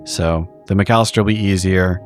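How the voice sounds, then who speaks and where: A man playing a tabletop roleplaying game, improvising casual in-character talk in a halting, sweary way. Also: low voice, steady tone, soft background music.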